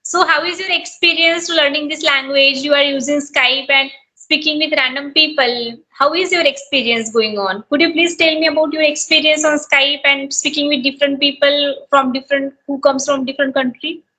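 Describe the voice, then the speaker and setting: Woman, high bright voice, polite and encouraging, lots of uh's, video call. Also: steady tone, moderate pace, low-quality recording. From Maharashtra, India. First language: Marathi. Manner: polite, encouraging, many fillers. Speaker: host, English learner. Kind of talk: casual conversation practice over video call. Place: small village home.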